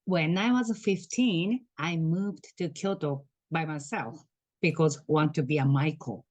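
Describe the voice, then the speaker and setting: A Japanese woman in her thirties with a Japanese accent, speaking halting English with soft laughs as a guest on a video call. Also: low-pitched, no room sound. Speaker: guest, tour guide. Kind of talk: casual interview over video call.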